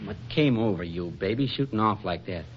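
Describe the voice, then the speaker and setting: An American actor playing an oblivious, defensive young husband in a 1940s radio comedy, with a colloquial, hesitant manner. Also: male voice, lowish voice, animated, fairly quick, natural voice. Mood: coaxing, reproachful. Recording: dull, noisy 1940s radio recording.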